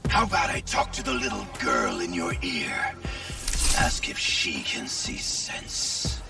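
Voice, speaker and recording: An actor playing a gloating, menacing mercenary villain in a video game, taunting with a drawl. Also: male voice, lowish voice, very animated, slow, vocal fry, loud music behind the voice, full character voice. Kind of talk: cinematic video game acting.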